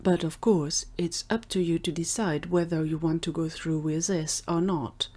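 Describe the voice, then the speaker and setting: Woman playing tiny polite inventor guy in an audio drama, considerate, lowish voice. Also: fairly even intonation, normal speed, darkish tone. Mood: polite, reassuring.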